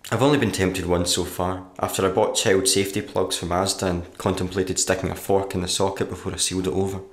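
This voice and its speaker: A low-pitched male voice from an actor plays a weary struggling writer in a radio play. He delivers his diary in a dry, morbid deadpan. The sound is roomy, with a noticeable echo. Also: quick pace, natural voice. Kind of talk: audio diary monologue, radio play acting.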